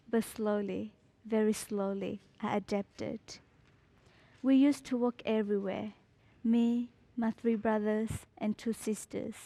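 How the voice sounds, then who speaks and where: Teen girl from Burma, student storyteller, mellow tone, unhurried measured delivery. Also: fairly high pitch, dry recording. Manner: slow, measured, deliberate reading. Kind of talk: reading a personal story.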